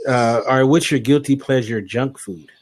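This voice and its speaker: A man co-hosting an interview show, running a rapid-fire question round with quick acknowledgements and frequent laughs. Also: mid-range voice, no room sound. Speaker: show co-host.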